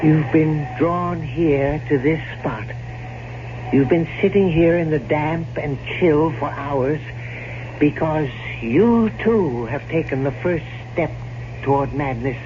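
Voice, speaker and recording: An actor playing an old, loyal, foreboding family servant in a radio horror drama, formal, solemn and pleading. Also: male voice, on the high side, takes it slowly. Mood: ominous, pleading. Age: older. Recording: noisy, muffled old radio drama recording.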